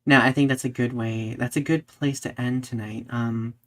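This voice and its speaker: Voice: medium pitch, steady tone, moderate pace, fairly bright tone. A podcast host talking conversationally with a co-host and live audience in a reflective, articulate way.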